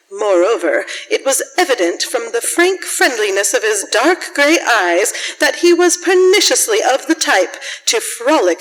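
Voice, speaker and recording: A woman narrating a radio drama story in a measured, literary manner. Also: a little high, a bit bright. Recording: echoey hall with long reverb.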